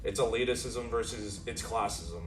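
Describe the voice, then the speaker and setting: A nineteen-year-old man in an interview, speaking in a wry, measured way. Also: fairly low pitch. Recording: echoey, distant mic with ambient noise.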